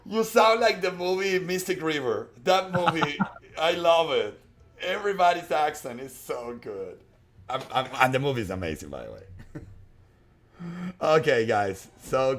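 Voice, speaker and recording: A man with a strong Japanese accent casting an esports match in a chatty, emphatic, excitable way. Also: on the high side. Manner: animated, chatty, emphatic repetition. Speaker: esports caster. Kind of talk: excitable live game commentary and banter.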